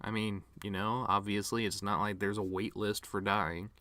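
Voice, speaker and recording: A man's voice: fairly low pitch, flat delivery, moderate pace, sharp tone, no room sound. A man performing a dramatic monologue as a bitter, regretful actor giving his mother's eulogy, with sardonic, rambling asides. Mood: somber musing.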